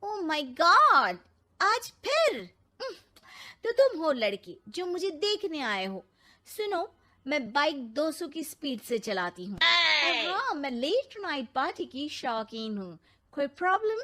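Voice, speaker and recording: Brash young tomboy bride , played by a woman, family story; high, animated, challenging. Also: takes it slowly, full character voice. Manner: bold, blunt, challenging. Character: brash confident tomboy young bride who loves bikes. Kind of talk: Hindi family story dramatization.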